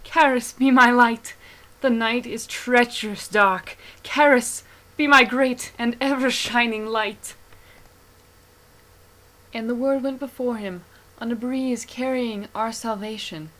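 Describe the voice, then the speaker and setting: A woman's voice: on the high side, steady tone, slow pace, a bit bright, low-quality recording. A woman playing a fervent fantasy herald, chanting prayer and praise in a reverent, declamatory way. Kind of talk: incantatory proclamation.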